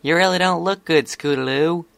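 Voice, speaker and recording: A man voicing a caring, excitable young female pony in a story reading. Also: fairly high pitch, moderate pace, gravelly, dry recording, voice pushed up. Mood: concerned, impatient.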